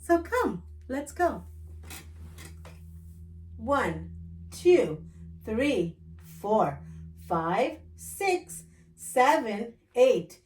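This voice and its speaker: A woman's voice: fairly high pitch, big pitch swings, very slow, pauses often. A woman hosting a children's storytime, reading aloud as a storyteller.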